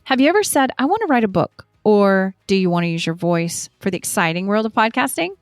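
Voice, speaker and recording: A woman's voice: medium pitch, animated, a little fast, no echo, clean studio sound, faint music behind. A woman hosting a podcast, reading an ad and welcoming a guest in an upbeat, encouraging way. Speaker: podcast host. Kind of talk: scripted ad read and warm interview hosting.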